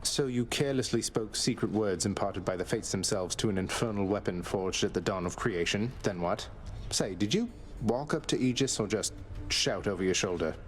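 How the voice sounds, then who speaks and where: A man's voice: lowish voice, normal speed, lightly acted, low-quality recording. A man voicing a sarcastic, defiant young prince in a video game. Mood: dry. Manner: sarcastic, dry, exasperated. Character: defiant young male prince, sarcastic, weary of his father.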